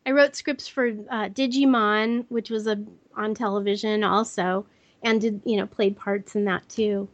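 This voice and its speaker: A woman's voice: mid-range voice, fairly even intonation, a little slow, no room sound, dull audio. A middle-aged woman voice actress, speaking casually in an interview from her own office over an online call, with a youthful, kid-like natural voice. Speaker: voice actress guest. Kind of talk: conversational interview.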